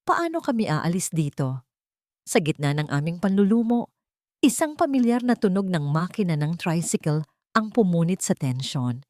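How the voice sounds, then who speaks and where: A low-voiced woman with a Filipino accent reads a dramatic first-person story. Her pitch moves widely from phrase to phrase, and the recording is close and dry. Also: a little mellow, no room sound. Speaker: audiobook narrator.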